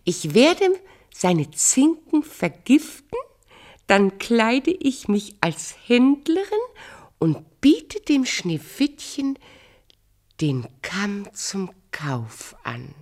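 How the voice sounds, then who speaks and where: Wicked fairy-tale queen for radio play: vain, commanding, scheming, big melodic swings, measured pace. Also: mid-range voice, sing-song, darkish tone, full character voice. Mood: gloating, plotting. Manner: theatrical, commanding, swinging between outcry and hiss.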